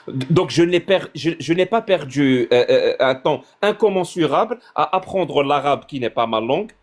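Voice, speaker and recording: A man's voice: a little high, expressive, moderate pace. A man hosting a heated online debate, pressing his opponent with repeated questions; Arabic is his first language. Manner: insistent, pressing questions, interrupting. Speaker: debate livestream host.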